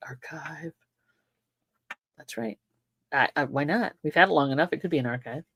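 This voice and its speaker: A woman's voice: low-pitched, moderate pace, a bit bright, slightly rough, no echo, clean studio sound. A woman in her sixties co-hosting a casual chat, repeating catchphrases and laughing often in playful banter. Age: 60s. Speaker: co-host. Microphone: desk microphone.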